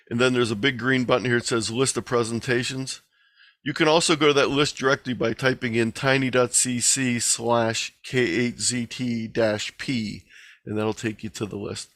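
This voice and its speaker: A man's voice: medium pitch, a bit bright, dry recording. Man presenting informally, dry close sound. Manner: conversational, explanatory. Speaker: guest presenter. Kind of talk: informal presentation and question-and-answer. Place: online video meeting.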